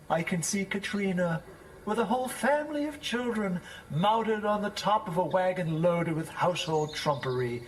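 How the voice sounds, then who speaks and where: A man's voice: a little high, low-quality recording. A man playing a vain, dreamy village schoolmaster in an audio drama, speaking in a pompous, flowery, effusive way. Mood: dreamy, scheming. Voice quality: theatrical, florid delivery.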